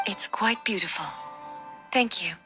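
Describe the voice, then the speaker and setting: An actress playing a solemn, ancient female shogun in a video game, with formal, measured and deliberate diction. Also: mid-range voice, over music, natural voice. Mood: composed, reflective. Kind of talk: video game character dialogue.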